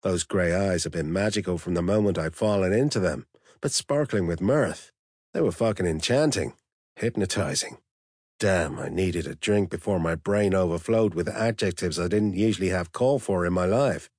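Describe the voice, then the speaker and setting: Deep, husky male audiobook narrator. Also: moderate pace, darkish tone, no echo, clean studio sound. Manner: blunt, profane, wry. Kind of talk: first-person novel narration.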